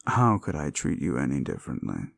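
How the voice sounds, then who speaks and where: A man's voice: a bass voice, huge pitch swings, a little fast, gravelly, heavily acted. A man playing a cruel, condescending demon in an audio roleplay, talking down to the listener with mocking, theatrical delivery. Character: condescending, cruel male demon summoned as a date.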